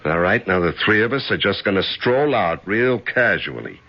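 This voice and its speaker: An actor playing a cool, sardonic, menacing racketeer in a 1970s radio drama, with a husky voice. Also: male voice, fairly low pitch, lively intonation, normal speed. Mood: threatening. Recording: dull old radio broadcast.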